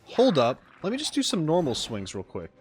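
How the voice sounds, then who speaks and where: A man's voice: a little high, wildly swooping pitch, a little fast, a little mellow, breathy edge. Casual man reacting over game music. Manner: informal, exclamatory, thinks aloud. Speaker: reaction video host. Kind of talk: casual gaming reaction commentary. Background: background game music.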